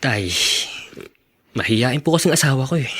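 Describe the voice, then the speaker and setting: A man's voice: medium pitch, expressive, normal speed, slightly rough. A man playing a bashful but proud, respectful adult Filipino son in a radio drama. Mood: hesitant, sheepish.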